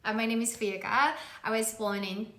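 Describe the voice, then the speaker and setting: A young woman from Japan co-hosting a relaxed chat in English, laughing often and using lots of "like". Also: a little high, normal speed, echoey room. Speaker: co-host. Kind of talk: casual chat.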